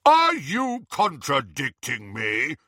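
A man voicing a big, intimidating official in an audiobook, blustering and booming with deliberate, pompous authority. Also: huge pitch swings, bright, forceful tone, no echo, clean studio sound, heavily acted. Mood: menacing bluster.

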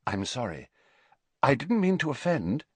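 A man's voice: medium pitch, sing-song, a little slow, husky, no echo, voice pushed up. A man voicing a polite, eager and cunning teenage wizard in an audiobook, deferential yet probing. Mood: polite, quick. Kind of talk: audiobook character voice.

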